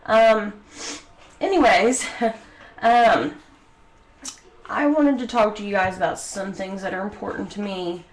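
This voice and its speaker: A woman's voice: medium pitch, takes it slowly, pauses often. A young woman with a head cold, sniffling, talking earnestly to camera in a home video with many hesitant ums. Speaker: vlogger. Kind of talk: personal talk to camera. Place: bedroom home studio. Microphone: studio mic. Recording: echoey room, faint background noise.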